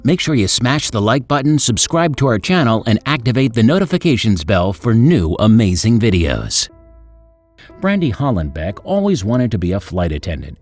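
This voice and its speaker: A man's voice: medium pitch, a little mellow. A man hosting a story channel, reading scripted narration in an upbeat, suspenseful storyteller manner.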